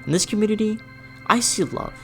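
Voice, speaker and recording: A person delivering a scripted, opinionated video essay in an expressive, emphatic way with conversational asides. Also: medium pitch, brisk. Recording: music behind the voice. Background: background music.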